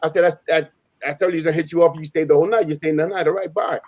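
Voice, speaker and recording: A man with a fairly high voice talks very quickly in a dry, close recording. He is a native Spanish speaker who tells stories in loose street slang. He repeats phrases for emphasis and acts out both sides of a conversation. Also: fairly even intonation, a little mellow, dry recording. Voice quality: slangy, informal street talk. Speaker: panelist on a live open panel. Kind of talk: casual animated storytelling.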